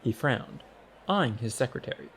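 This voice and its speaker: A man's voice: mid-range voice, expressive, moderate pace, fairly bright tone, slightly rough. A man narrating a comic fantasy audiobook in a wry, scripted storytelling style.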